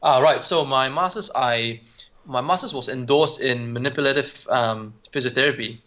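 A man answers interview questions over a muffled phone line. His voice is bright and a little tense, and he halts often with ums and restarts. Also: medium pitch, normal speed. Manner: hesitant, many ums and restarts. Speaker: guest physiotherapist.

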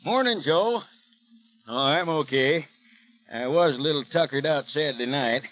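An actor playing a folksy, chatty, obliging corner grocer in a 1930s radio advertisement, homespun and confiding. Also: male voice, fairly high pitch, lively intonation, a little slow, a bit bright. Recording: noisy old 1930s radio recording.

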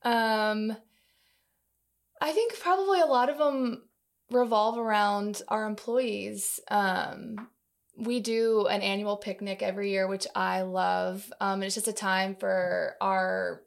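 A woman speaks as an interview guest in a casual, chatty way. Her voice sits at an ordinary pitch with plenty of creaky fry at the edges. She tells workplace anecdotes informally, like someone talking with colleagues. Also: fairly even intonation, takes it slowly. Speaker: interview guest, long-time company employee.

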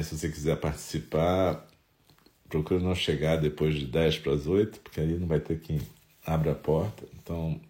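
A Brazilian man with a nasal, stuffy voice from a head cold, calmly leading a Zen meditation talk. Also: rumbling low. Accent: Brazilian Portuguese.

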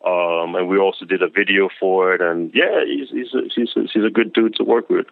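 A man from Denmark, a producer and DJ, chatting casually in an interview, relaxed and full of fillers and repeated words. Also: low voice, a little fast, vocal fry, no room sound. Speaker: music producer and DJ guest. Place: lives in Queens. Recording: tinny phone-like line.

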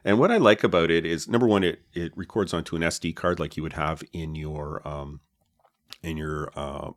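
A man with a low voice, co-hosting a podcast and explaining his gear in a relaxed way, with many fillers, on a dynamic mic with a pop filter. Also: moderate pace, dry recording. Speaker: podcast co-host. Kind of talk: casual chat about podcast gear. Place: home podcast setup. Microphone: dynamic podcast mic with pop filter and foam cover.

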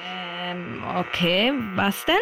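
A woman's voice: fairly low pitch, drawn-out words, background noise. A woman with a Swiss German accent playing a bored, grumbling little scout girl in a radio play. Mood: puzzled. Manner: sulky, childlike complaining.

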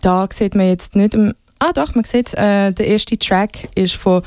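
Mellow Swiss German woman presenting music. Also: medium pitch, unhurried, no room sound, clean studio sound. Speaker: radio show guest presenting music. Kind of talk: casual music radio chat.

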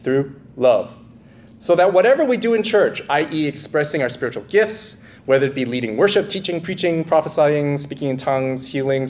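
A man's voice: mid-range voice, a little mellow, a little hiss or hum. A man preaching an expository sermon in an emphatic teaching manner, often checking in with questions to his listeners. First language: English. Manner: asks the congregation questions, frequent check-in tags like "right?" and "okay?".

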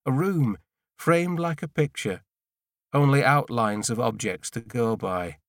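A man narrates a literary audiobook in a medium-pitched voice. His delivery is measured and deliberate, phrase by phrase, in a very dry recording with no room sound. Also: no room sound. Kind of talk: scripted literary narration in the first person.

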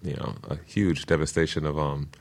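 A man speaking as an expert guest in an interview, explaining things in an earnest, deliberate way. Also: very deep voice, steady tone, gravelly. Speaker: guest expert, permaculture teacher.

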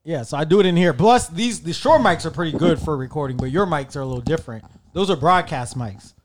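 A man's voice: fairly high pitch, expressive, fast, slight background noise. A man hosting a podcast, chatting casually and laughing while setting up gear in a small closed room. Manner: laid-back, laughs often, fiddles with gear while talking. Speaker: podcast host. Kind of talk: casual chat before recording. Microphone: headset studio mic.

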